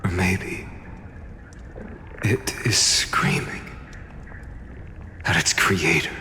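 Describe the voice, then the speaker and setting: An actor voicing a grave, weary male companion in a game cutscene, speaking quietly and measured. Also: low-pitched, in a large hall, background noise. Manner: quiet, measured, half-whispered delivery.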